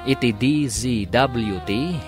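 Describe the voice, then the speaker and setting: A man's voice: medium pitch, takes it slowly. A man giving dramatic scripted narration as the announcer of an Ilocano radio drama. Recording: narration over prominent musical score. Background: dramatic music.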